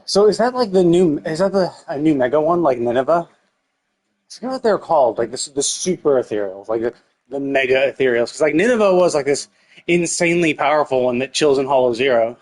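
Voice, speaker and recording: A man's voice: on the high side, expressive, fairly quick, a little mellow. A man livestreaming casual gameplay commentary, with rambling, sweary reactions. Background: game music.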